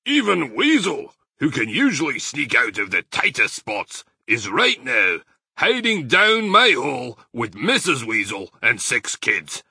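A man voicing an excitable, despairing badger father in a children's storybook, blustering and emphatic. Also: mid-range voice, measured pace, gravelly, breathy edge, no room sound, studio quality, voice pushed up, heavily acted. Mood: frantic, despairing. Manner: blustering, agitated, emphatic delivery.

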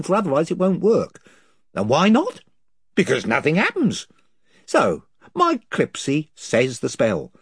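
A man's voice: sits high, darkish tone, slightly rough, no echo, clean studio sound, pitched above the performer's own voice, exaggerated voice. A man doing a rambling rustic chief. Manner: rambling, long-winded, folksy. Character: fussy rustic male chief of invisible creatures. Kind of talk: audiobook character voice.

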